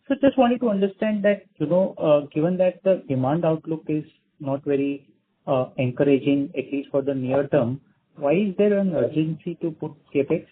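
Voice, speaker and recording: A man's voice: a little high, moderate pace, husky. A male analyst with an Indian accent politely asking questions on a business call, often checking his phrases with a questioning tag. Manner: polite, tags phrases with "right?". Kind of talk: spontaneous questioning on an earnings call. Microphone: phone call. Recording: thin narrowband phone line.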